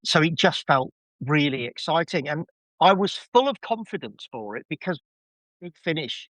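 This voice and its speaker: A man's voice: a little high, big pitch swings, moderate pace, a bit bright, no room sound, low-quality recording. A man chatting casually as a podcast guest, giving an enthusiastic fan review full of rambling asides.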